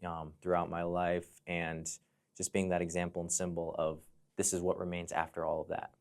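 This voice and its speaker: American male podcast guest, very low register, thoughtful and explanatory, conversational storyteller. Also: monotone, normal speed. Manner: reflective, explanatory, often says "you know". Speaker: podcast guest, fire dancer and entrepreneur.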